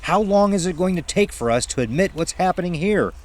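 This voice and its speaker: A man playing a steady, commanding man who leads frightened friends in a horror story, earnest and insistent. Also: high voice, fairly quick, pitched above the performer's own voice.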